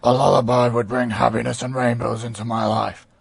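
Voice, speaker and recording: A man voicing a polite, overly sweet cartoon character with a gravelly, husky voice. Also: medium pitch, level tone, a little fast, no room sound. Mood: sweetly grateful.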